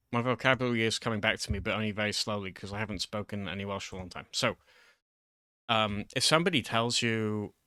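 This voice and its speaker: A man's voice: fairly low pitch, pushed and bright, smooth, no room sound. A man giving an informal, improvised lesson in a hesitant, rambling, self-deprecating way, close to the microphone. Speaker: online video host.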